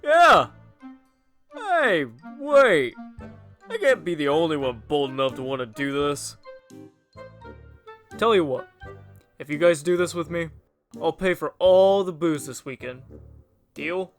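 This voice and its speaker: A man's voice: on the high side, animated, takes it slowly, pauses often, a bit bright, soft background music. A man playing a brash, cocky young daredevil who goads his friends in bro talk, in a cheesy horror audio drama. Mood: excited, goading. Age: young adult. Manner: bro-talking, goading, cocky.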